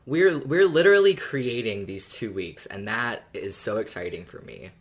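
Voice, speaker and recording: A woman's voice: a bass voice, normal speed. A woman co-hosting a podcast in casual fan discussion, agreeable and engaged as she relays comments and adds her own thoughts. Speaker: podcast co-host.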